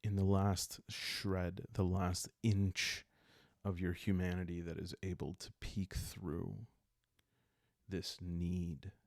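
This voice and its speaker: A man narrating a solo roleplaying story in a calm, hushed ASMR style, halting with deliberate pauses, close to the microphone. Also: low-pitched, no echo. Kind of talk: calm ASMR narration of a dark journaling game.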